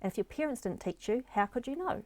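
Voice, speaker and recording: A woman's voice: on the high side, expressive, fast, darkish tone, no room sound. A middle-aged woman with a New Zealand accent, a guest explaining happiness and resilience in an earnest, encouraging way. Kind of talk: interview conversation.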